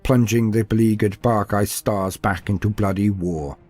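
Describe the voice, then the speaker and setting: A man's voice: lowish voice, steady tone, normal speed, a little mellow. A man giving a scripted, dramatic narration of fantasy war lore. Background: music.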